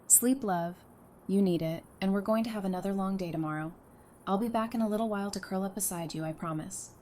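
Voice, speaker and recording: A woman's voice: mid-range voice, a little fast, dark tone, close to an everyday voice. A woman acting a caring, blunt wife and mother with casual, wry banter in an audio drama. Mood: tender. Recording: noticeable background noise.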